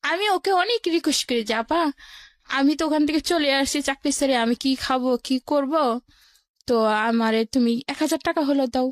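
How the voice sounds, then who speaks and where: A woman's voice: sits high, moderate pace, dry recording, clean studio sound. A woman with a Bangladeshi accent telling her own life story as an interview guest, in plain colloquial words, step by step.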